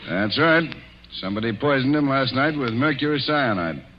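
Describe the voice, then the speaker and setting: An actor playing a blunt, slangy police detective in a 1940s radio drama. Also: male voice, mid-range voice, animated, moderate pace, lightly acted. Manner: slangy, drawling tough-guy talk. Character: gruff male police detective, blunt and folksy. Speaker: policeman making an arrest. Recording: muffled 1940s radio broadcast with faint hiss.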